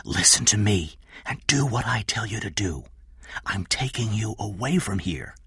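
A man voicing an anxious, determined young woman in an earnest, urgent, hushed manner. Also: lowish voice, very animated, unhurried, slightly rough, no echo, clean studio sound, voice pushed up, exaggerated voice. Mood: urgent whisper.

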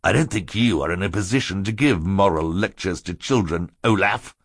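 A man's voice: on the low side, animated, moderate pace, a bit bright, husky, no echo, studio quality, full character voice. A man voicing a fussy, officious middle-aged guardian in a storybook, pompous and admonishing. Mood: stern.

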